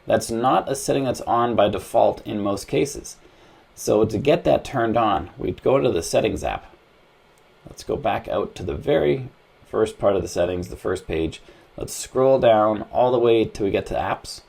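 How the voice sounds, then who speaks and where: A man with a lowish, mellow voice and a husky grain walks the listener through phone steps. He is plain-spoken and conversational, instructing casually as a tutorial host.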